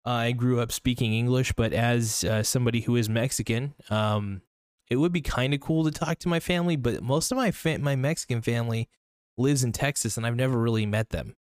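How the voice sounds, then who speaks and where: Young man, casual solo podcast, rambling, fillers and restarts, medium pitch, dry close sound. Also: brisk, smooth, no echo. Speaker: podcast host.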